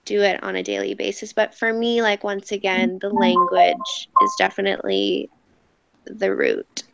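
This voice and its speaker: A woman's voice: mid-range voice, unhurried, gravelly, muffled recording, loud music behind the voice. A young American woman speaking reflectively in a casual group discussion, often saying "like". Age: young adult. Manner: reflective, frequent "like". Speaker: youth panel participant.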